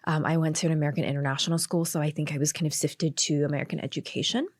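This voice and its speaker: A woman's voice: low-pitched, steady tone, very fast, darkish tone, dry recording, studio quality. A woman from Hong Kong answering questions conversationally as a podcast guest and doctor.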